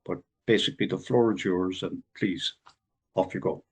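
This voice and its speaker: A man's voice: deep voice, moderate pace, slightly rough. A man hosting a podcast from Ireland, talking in a rambling, informal way with many fillers. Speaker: podcast host. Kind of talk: podcast interview.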